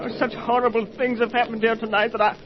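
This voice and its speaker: An actor playing a nervous, deferential butler who protests formally, in an old-time radio mystery. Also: male voice, very high-pitched, level tone, quick pace. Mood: anxious, deferential. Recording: muffled, lo-fi old radio recording with hiss.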